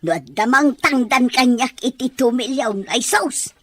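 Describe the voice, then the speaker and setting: Husky older housemaid. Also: female voice, high voice, measured pace, no room sound. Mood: teasing. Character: older female household servant, kindly, teasing, grumbling. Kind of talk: Filipino radio drama acting.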